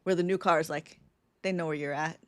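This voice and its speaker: A woman's voice: low-pitched, fairly even intonation, a little fast, no room sound. A woman co-hosting a podcast, thinking aloud casually with many fillers. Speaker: podcast co-host. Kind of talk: casual live podcast brainstorm.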